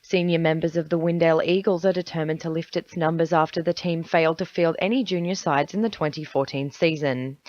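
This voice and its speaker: Lowish-voiced Australian woman reading sport. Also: dry recording, dull audio. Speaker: sports news reader. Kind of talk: scripted sports bulletin reading.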